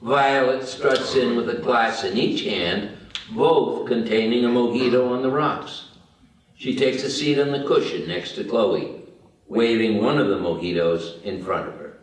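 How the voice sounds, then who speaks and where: A man narrates screenplay stage directions at a slow pace. He sounds far from the microphone, in a room with very strong echo. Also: mid-range voice. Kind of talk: scripted narration at a table read. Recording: very echoey room, far from mic.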